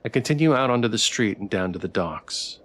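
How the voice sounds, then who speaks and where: A man live-streaming a story game, switching between casual, playful, halting chat with viewers and reading narration aloud. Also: on the low side, lively intonation, a bit bright. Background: background music.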